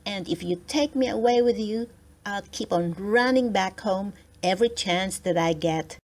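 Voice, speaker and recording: A woman's voice: mid-range voice, normal speed, darkish tone, close to an everyday voice. A woman voicing a plucky young girl, earnest and friendly, in a children's storybook. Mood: earnest, regretful.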